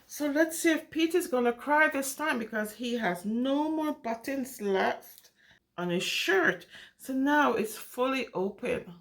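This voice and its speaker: A woman reads a picture book to young children in a high voice. She takes her time and speaks at an unhurried pace. Her delivery is singsong and exclamatory, swinging widely in pitch as she puts questions to her little listeners. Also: lively intonation, a bit bright. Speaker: children's storyteller reading a picture book aloud. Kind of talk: animated interactive read-aloud for young children.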